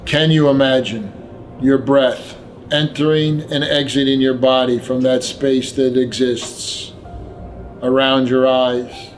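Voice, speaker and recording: Male meditation guide, medium pitch, gentle and very slow scripted delivery with pauses, over background music. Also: little pitch movement. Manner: gentle, unhurried instruction with long pauses.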